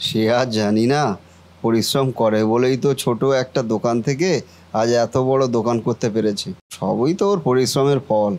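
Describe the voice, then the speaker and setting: A man's voice: medium pitch, normal speed, a little mellow, natural voice. A man voicing a kindly, reassuring eldest brother and office worker in a family cartoon. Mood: reassuring, fond. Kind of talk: Bengali family cartoon voice acting.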